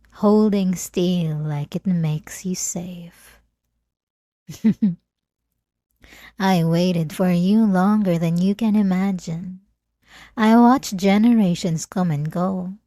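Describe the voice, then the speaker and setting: A woman plays an obsessive, centuries-old vampire lady in an intimate ASMR audio roleplay. Her voice is dark and soft, drawn out at a leisurely pace with teasing, possessive sweetness. It sits close and very dry on the microphone. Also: mid-range voice, no room sound. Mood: possessive, seductive.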